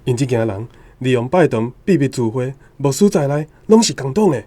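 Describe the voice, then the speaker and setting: Man playing dark-voiced suspicious Taiwanese informant. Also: mid-range voice, animated, fairly quick, close to an everyday voice. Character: suspicious plainclothes government informant reporting on suspected communists. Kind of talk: radio drama acting.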